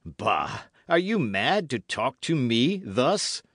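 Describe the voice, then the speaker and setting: A haughty duke acted out by a male narrator in a historical storybook. He is scornful and imperious, weighing his words with animated indignation in a dry, close recording. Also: medium pitch, breathy edge, no echo, pitched above the performer's own voice, heavily acted. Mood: indignant disbelief. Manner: imperious, incredulous, deliberate. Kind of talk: dramatic audiobook character reading.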